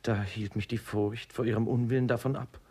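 An actor playing a lovesick young son, earnest and formally polite, in a classic comedy radio play. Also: male voice, mid-range voice, fairly even intonation, brisk, dark tone, breathy edge, natural voice. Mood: earnest, confessing. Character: lovesick young son, respectful but guarded with father.

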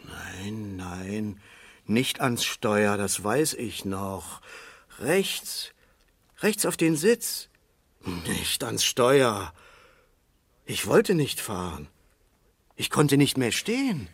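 An actor playing a shaken suspect who haltingly denies his guilt in a 1970s German radio crime drama. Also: lowish voice, very animated, vocal fry, husky, no room sound, exaggerated voice. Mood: desperate denial. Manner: halting, bewildered, repeating himself. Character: shaken, remorseful male drunk-driving suspect denying his guilt.

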